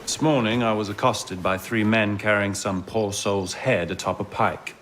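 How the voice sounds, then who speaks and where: Man playing a dry, low-voiced military officer. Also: fairly even intonation, moderate pace, a bit bright, slight background noise, close to an everyday voice. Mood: cynical, bitter. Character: wry, cynical French army officer. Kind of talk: video game cinematic acting. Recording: background music under the voice.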